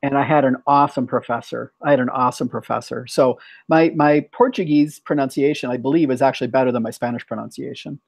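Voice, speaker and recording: A man's voice: medium pitch, fast talker, gravelly, dry recording. A young man hosting an English practice video call, telling stories animatedly with frequent restarts. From United States. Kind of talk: casual chat over video call.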